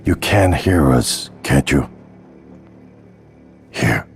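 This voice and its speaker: An actor playing a weary, grave lead scientist in a video game, speaking deliberately and earnestly. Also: male voice, a bass voice, expressive, creaky, faint music behind, exaggerated voice. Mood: earnest, solemn. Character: weary, grave male scientist leading an experiment. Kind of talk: video game cutscene dialogue.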